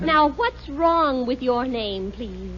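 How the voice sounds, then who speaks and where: An actress playing a patient, gently teasing wife and mother in a 1950 radio sitcom. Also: high voice, lively intonation, takes it slowly, highs cut off, some chatter behind, slight background noise, full character voice. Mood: soothing. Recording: old radio broadcast with audience laughter.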